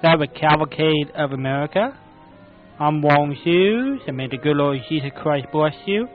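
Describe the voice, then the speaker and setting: A man's voice: a little high, normal speed. Tinny-sounding male podcast host. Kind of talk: show announcement. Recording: tinny, phone-like, music underneath.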